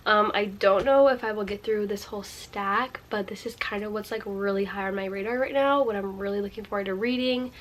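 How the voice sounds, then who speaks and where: A woman's voice: mid-range voice, steady tone, brisk, hardly any pauses, a little hiss or hum. A young woman with a stuffy, cold-clogged voice talks to camera at home. She gushes in a bubbly, casual way, with plenty of likes and ums. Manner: bubbly, gushing, filler-heavy. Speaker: book vlogger. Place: indoors at home by a sunny window.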